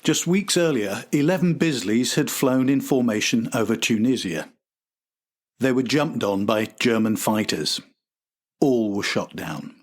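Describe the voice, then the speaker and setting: A man's voice: mid-range voice, expressive, measured pace. A man hosting a podcast, reading a scripted historical documentary narration.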